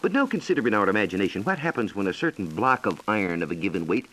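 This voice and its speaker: Low-pitched American man, lively 1940s radio explainer. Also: a little hiss or hum, low-quality recording. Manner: explanatory, measured, lecturing. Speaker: science reporter and guest expert. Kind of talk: scripted educational radio dialogue.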